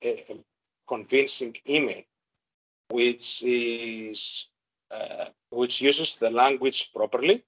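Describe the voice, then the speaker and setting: Greek-accented man, halting, fillers. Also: medium pitch, dull audio. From Greece. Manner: hesitant, many fillers and restarts. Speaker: guest expert. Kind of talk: podcast interview.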